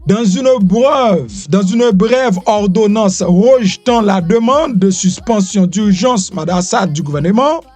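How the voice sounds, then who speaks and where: Haitian man, high-pitched, teasing live news commentary, repeats himself, faint music behind. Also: unhurried, hardly any pauses, darkish tone. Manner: switches between Creole, French and English, repeats phrases, teasing. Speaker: host of a live news show.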